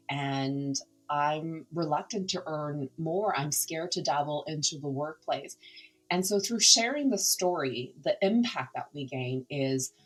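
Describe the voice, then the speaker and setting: A middle-aged Canadian woman with a low, deep-set voice and Cantonese as her first language, talking earnestly in a podcast interview. She pauses and restarts as she reflects, with faint music under her. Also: husky, rough recording. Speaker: podcast guest, nonprofit founder. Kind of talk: candid conversational interview.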